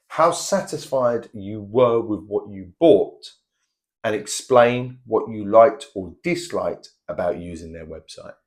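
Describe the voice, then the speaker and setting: A man examining a student in a mock speaking test, giving clear and measured instructions. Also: on the low side, darkish tone. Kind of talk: mock exam interview.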